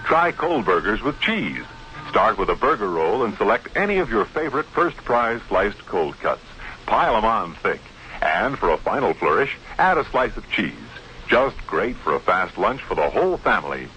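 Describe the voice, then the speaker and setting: A man's voice: on the low side, sing-song, moderate pace, sharp tone. An American man reading a scripted 1960s radio advertisement as its announcer. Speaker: commercial announcer. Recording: faintly noisy 1960s radio recording.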